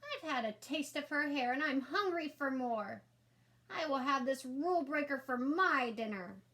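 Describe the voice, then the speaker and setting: A woman's voice: sits high, lively intonation, unhurried, a bit bright, voice pushed up, exaggerated voice. A woman voicing a greedy, hungry bathtub monster in a storybook, with emphatic, menacing delivery. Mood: menacing, eager.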